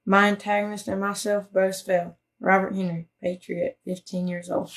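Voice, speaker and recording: Woman, medium pitch, flat intonation, scripted reading. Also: normal speed. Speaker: reader of a historical account. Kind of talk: scripted reading of a first-hand account.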